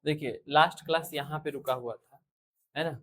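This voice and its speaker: Indian school science teacher, classroom lecture delivery, medium pitch, repeats key questions and answers them for the students. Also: steady tone, normal speed, a bit bright.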